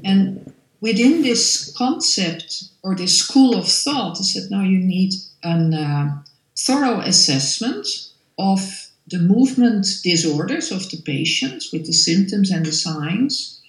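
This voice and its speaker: A middle-aged woman with a Dutch accent, a native Dutch speaker, explaining her work in a measured way as an interviewed lecturer, pausing to find words. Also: mid-range voice, expressive. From Netherlands. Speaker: physiotherapy lecturer being interviewed. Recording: echoey room sound.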